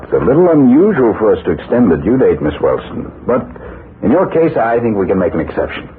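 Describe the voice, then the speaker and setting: An actor playing a smooth, scheming loan company boss in a 1940s radio drama, with measured, businesslike politeness. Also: male voice, lowish voice, very animated. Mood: smoothly polite. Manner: polite, measured, hesitant-sounding businessman's patter. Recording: noisy, tinny 1940s radio recording.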